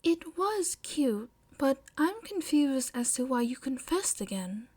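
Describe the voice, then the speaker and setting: A woman acts out a courteous, shy teenage girl in love for a story reading. The voice is raised and lilting. She speaks softly, with hesitant, gentle politeness. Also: sits high, sing-song, takes it slowly, voice pushed up, exaggerated voice. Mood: happy, tender. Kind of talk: audiobook-style fan fiction character reading.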